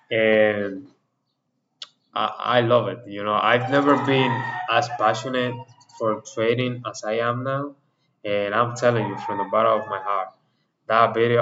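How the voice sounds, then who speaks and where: A man whose first language is Spanish, speaking English in a casual trade review for his channel, often repeating words and restarting phrases. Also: on the low side. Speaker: trading channel host. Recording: muffled audio with faint background noise.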